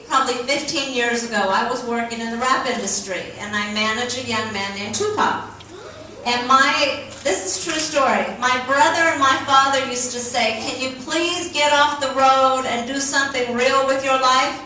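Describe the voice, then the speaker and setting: A woman's voice: high-pitched, fairly even intonation, moderate pace, bright, forceful tone, a little hiss or hum. A woman in the music business telling an earnest story to introduce a guest, quoting her family as she goes. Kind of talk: heartfelt introduction speech. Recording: echoey hall from far off.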